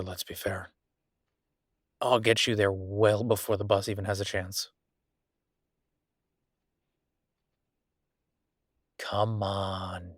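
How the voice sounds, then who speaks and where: A man's voice: fairly low pitch, no echo, natural voice. Man playing a laid-back billionaire, close and dry. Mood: easygoing, reassuring. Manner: rambling, informal, playfully defensive, self-mocking. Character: friendly, self-deprecating young billionaire CEO, nerdy car lover. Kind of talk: casual intimate audio roleplay, one-sided chat with listener.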